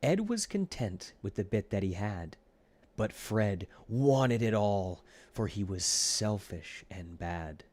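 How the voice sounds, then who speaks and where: A man narrating a rhyming children's storybook in an expressive, deliberate storytelling way. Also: fairly low pitch. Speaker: audiobook reader.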